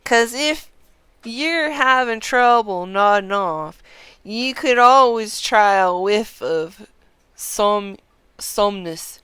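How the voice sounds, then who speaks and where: A woman voicing a sly, boastful male ex-prizefighter from a game, taunting an old rival in rustic, mocking phrases with drawn-out emphasis. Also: medium pitch, lively intonation, bright, forceful tone, clean tone, no echo. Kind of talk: reading game dialogue aloud in character.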